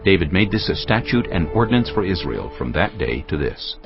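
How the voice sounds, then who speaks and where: Low voiced guy narrating a bible drama, solemn and measured but really expressive, music under it. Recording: dull audio with music behind. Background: background music.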